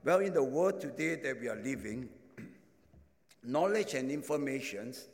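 Man, preacher, mid-range pitch, halting restarts, non-native phrasing, long hall echo. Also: expressive. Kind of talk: sermon with testimony. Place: large echoing hall. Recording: echoing hall.

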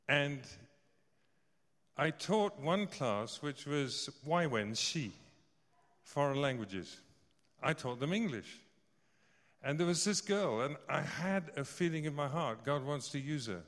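A man's voice: mid-range voice, fairly bright tone. A man in his sixties preaching a sermon, telling stories with joking asides. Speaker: visiting preacher. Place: church service hall. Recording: long reverb of a large hall.

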